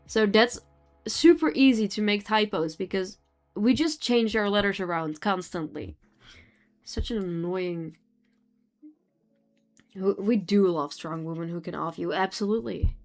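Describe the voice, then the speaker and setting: A young Dutch woman chats with her live stream audience, her English colored by a Dutch accent. She talks loosely, giggling and trailing off mid-thought. Soft music plays faintly behind her. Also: medium pitch, unhurried, pauses often. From Netherlands. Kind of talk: casual chat with chat while drawing.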